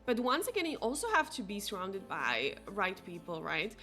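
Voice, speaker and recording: A woman's voice: mid-range voice, sing-song, moderate pace, sharp tone. A young woman with a Slovak accent, a non-native English speaker, chatting casually with viewers as she streams a game, sprinkling her talk with "like". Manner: chatty, heavy use of "like", reads viewers' chat aloud. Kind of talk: casual chat while playing a game. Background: faint game music.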